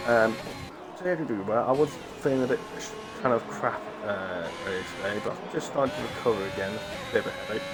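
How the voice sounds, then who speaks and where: A man's voice: mid-range voice, noisy background. Man commentating with a cough. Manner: rambling, chatty, self-interrupting. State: coughing, sore throat from a cold. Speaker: race commentator. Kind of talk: live sim-racing league commentary.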